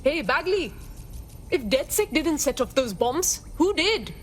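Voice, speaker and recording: A woman's voice: sits high, very animated, a little slow, slight background noise. A woman playing an eager, casual young hacker recruit in a video game. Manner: casual, flippant.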